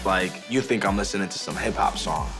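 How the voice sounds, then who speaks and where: A young man with a low voice tells his story in a casual, slangy way. He grew up between the Dominican Republic and New York. Music plays clearly behind him. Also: a little fast. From born in the Dominican Republic, in New York from age eight. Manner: informal and slangy, with frequent "like" and "you know". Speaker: reality show participant, school safety officer and club DJ. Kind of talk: casual personal storytelling to camera. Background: background music.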